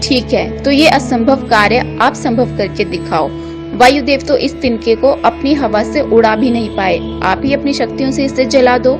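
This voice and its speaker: A woman's voice: a little high, normal speed, close to an everyday voice. A woman playing a goddess in the form of a blazing light, composed and taunting as she challenges proud gods in a devotional story. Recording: music behind the voice.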